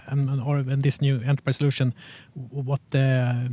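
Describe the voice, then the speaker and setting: A male interviewer with a mellow, subdued tone and very little pitch movement asks questions on a financial call. His phrasing is halting, with repeated words as he works toward each question. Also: little pitch movement. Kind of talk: question-and-answer on a business call.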